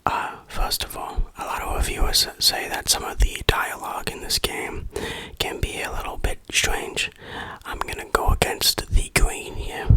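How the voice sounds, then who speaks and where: Breathy unvoiced whisper, bright and crisp edge, ASMR game reviewer, deliberate, tongue-in-cheek delivery. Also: low-quality recording.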